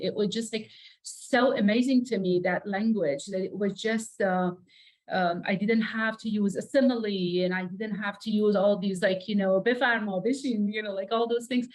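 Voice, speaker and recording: A woman's voice: medium pitch, poor audio. A middle-aged woman from Iran, a native Persian speaker, talking reflectively as an interview guest, searching for words and often saying "you know".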